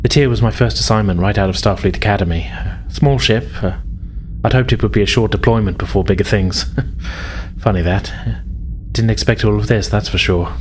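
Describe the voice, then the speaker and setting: A man's voice: fairly low pitch, slight background noise, close to an everyday voice. Man voicing a hesitant, wistful woman castaway. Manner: hesitant, halting. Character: woman crash survivor, polite, wistful former Starfleet officer. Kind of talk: tabletop roleplay in character.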